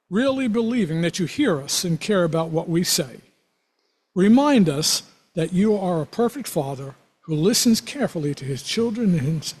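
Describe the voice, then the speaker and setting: A man's voice: on the high side, husky, poor audio. A middle-aged man, a minister, telling a joke and then leading a spoken prayer at a club lunch. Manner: loud, jovial speaker. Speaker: minister giving an invocation.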